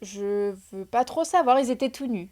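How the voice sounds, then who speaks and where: A woman co-hosting a real estate podcast, relaxed as she tells a story about a client visit, with many fillers. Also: fairly high pitch, normal speed. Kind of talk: casual storytelling chat.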